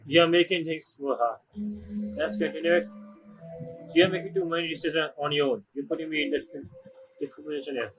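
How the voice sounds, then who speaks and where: Animated high-pitched male game streamer. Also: brisk, long pauses, over music, rough recording. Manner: animated, repeats phrases. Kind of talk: casual reaction commentary.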